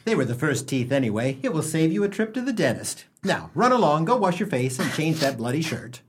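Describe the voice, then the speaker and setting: An actor playing a sardonic, penny-pinching small-town husband in a comic radio play, blustering with a slightly husky voice. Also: male voice, a little high, sing-song, brisk. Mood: brisk, wry. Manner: blustering, sarcastic, declamatory.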